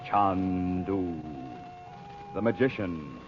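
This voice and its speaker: A man's voice: low voice, extremely slow. A man narrating an old-time radio serial as a dramatic announcer. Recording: dull old radio recording, loud music.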